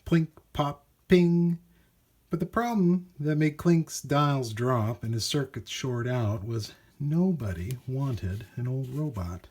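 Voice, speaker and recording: A man reading a children's storybook aloud in an animated, expressive way with dramatic emphasis. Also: medium pitch, takes it slowly. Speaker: storybook reader.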